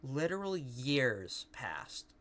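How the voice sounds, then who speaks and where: A woman with a really deep voice, casually commentating a live game stream and chatting with viewers in a rambling, profane way. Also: very animated, extremely slow, fairly bright tone. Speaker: VTuber streamer.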